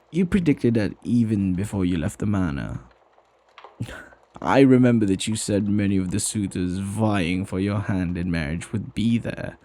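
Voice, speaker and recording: Guy doing a flirty butler for asmr, slow n husky, doting on his master, formal wording. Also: lowish voice, mellow. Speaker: ASMR roleplay voice actor. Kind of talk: ASMR roleplay acting.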